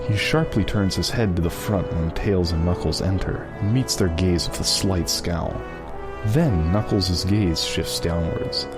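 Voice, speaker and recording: A man narrating a fan fiction script, reading out scene headings and stage directions. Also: sits low.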